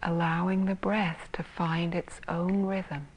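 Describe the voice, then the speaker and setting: A woman teaching meditation in a soft, breathy, husky voice, calmly and gently guiding with long pauses between phrases. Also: fairly low pitch, highs cut off. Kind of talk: guided meditation.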